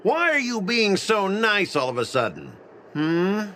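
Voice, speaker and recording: An actor playing a pompous, blustering young corporate manager in a video game. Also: male voice, high-pitched, huge pitch swings, unhurried, pushed and bright, slight background noise, exaggerated voice. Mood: smug. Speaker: company manager.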